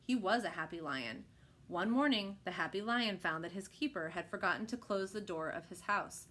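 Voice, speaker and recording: A woman reading a children's picture book aloud with expressive narration. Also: mid-range voice, normal speed.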